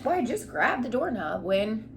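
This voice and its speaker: A woman's voice: fairly high pitch, very animated, moderate pace, vocal fry, background noise. A woman voicing a male wolf who tells his own storybook tale in an aggrieved, self-justifying, conversational and dramatic way. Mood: confiding. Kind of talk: children's storybook read-aloud.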